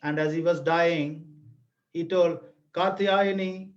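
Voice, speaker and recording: A middle-aged Indian man whose first language is Tamil speaks in a high voice at an unhurried pace. He presents like a dramatic storyteller, deliberate and emphatic. The sound is dull and lo-fi, as on an online webinar. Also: fairly even intonation. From Tamil Nadu, India. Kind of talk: theatrical read-aloud storytelling in an online lesson.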